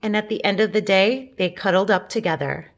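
A woman reading a children's picture book aloud, gently, with asides and questions to young listeners. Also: medium pitch, fairly quick, muffled recording. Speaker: storybook reader.

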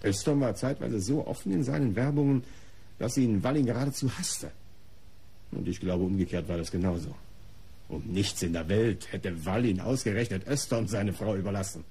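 An art patron in a radio crime play, defensive and disdainful under questioning. His voice is lowish, dark and very raspy. He justifies himself in formal, articulate sentences. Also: moderate pace. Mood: dry, disdainful. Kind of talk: German radio crime drama acting.

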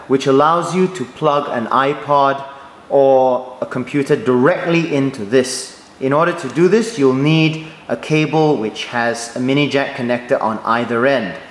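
A man giving a step-by-step tutorial on church sound equipment, explaining clearly and methodically. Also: mid-range voice. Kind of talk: instructional voice-over. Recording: echoey room, faint noise.

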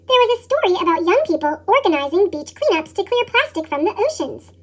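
Squeaky chipmunk-voiced woman narrating. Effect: pitched-up chipmunk voice effect. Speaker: children's storybook narrator. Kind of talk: read-aloud scripted narration.

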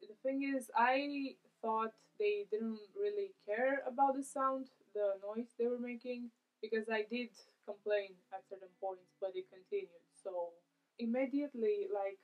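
A young woman from Romania, speaking in a measured, reflective way as a student interviewee in a documentary. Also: a little high. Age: young adult. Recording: tinny phone-like audio.

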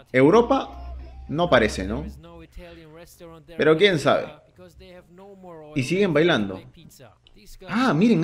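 Man commenting on gym videos, mocking. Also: mid-range voice. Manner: mocking, incredulous, chatty asides to viewers. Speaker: fitness YouTuber reacting to videos. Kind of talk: casual reaction commentary. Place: home office with bookshelves, indoors. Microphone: desk mic on arm, wearing earphones.

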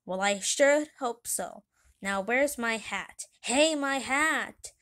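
A woman's voice: medium pitch, slow, fairly bright tone. A woman voicing a vain, irritable, bossy town mayor who barks orders indignantly in a children's comic story. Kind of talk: children's comic read aloud.

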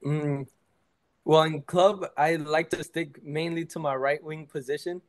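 A teenage high school soccer player answering interview questions over a video call, relaxed and full of "like" and "I mean". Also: male voice, unhurried. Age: teens.

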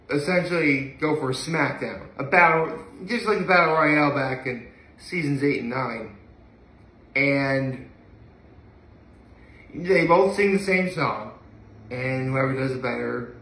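A man's voice: fairly high pitch, fairly bright tone. A young man chatting casually and enthusiastically to camera in a rambling, conversational way, recorded in a living room from a few feet away. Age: young adult. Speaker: vlogger. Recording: echoey room, mic at a distance.

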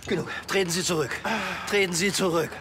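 A man's voice: sits high, steady tone, brisk, noisy background, natural voice. An actor as a fussy male crime novelist. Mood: indignant.

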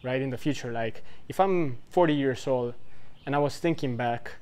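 A man's voice: medium pitch, normal speed, darkish tone, clear, resonant tone. Rambling man in his twenties. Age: 20s. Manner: rambling, loose, full of "like" and "right?". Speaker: vlogger giving life advice. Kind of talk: casual talk to camera.

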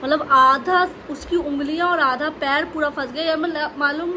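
A young woman vlogging to her phone at home, telling a story in a casual, animated way. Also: high voice, moderate pace, slight background noise. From India. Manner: animated storytelling to the camera, with lively gestures. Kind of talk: casual chatty vlog narration. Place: bedroom at home, indoors. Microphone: phone held close.

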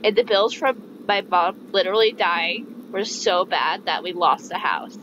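A woman's voice: medium pitch, a little slow, lo-fi sound, background noise, close to an everyday voice. A woman voicing a bitter, weary, resentful young woman in a dramatic reading of video game dialogue. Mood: grieving, bitter.

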